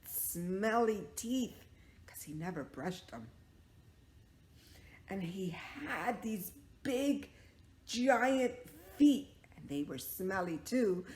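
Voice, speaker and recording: A woman telling a story to young children, dramatic, stretching out words and dropping into hushed tones, with questions to her listeners. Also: mid-range voice. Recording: echoey room.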